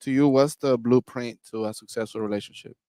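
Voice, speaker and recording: A man's voice: medium pitch, steady tone, fairly quick, warm tone, no room sound, studio quality. A man hosting a casual group podcast, putting questions to the panel. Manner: gravelly, asking questions. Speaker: host.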